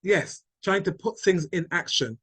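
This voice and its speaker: A man hosting a church webinar over a video call. His voice is slightly high and sounds dry and close, and he thanks contributors often, circling and repeating words while he thinks aloud. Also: measured pace, slightly rough, dry recording. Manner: warm, repetitive, thanking, hesitant. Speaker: webinar chair. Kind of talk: chairing an online discussion.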